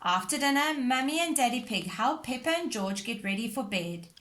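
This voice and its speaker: A woman's voice: a little high, animated, normal speed, a bit bright. A woman reading a scripted children's story aloud as narrator. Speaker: storybook reader.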